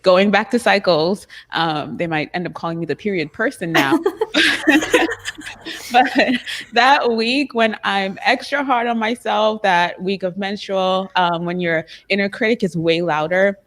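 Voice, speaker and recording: A woman's voice: medium pitch, a little slow, slight background noise. A woman with a Trinidadian accent speaking as a panelist, thoughtful, with frequent ums and light laughter. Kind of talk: panel discussion.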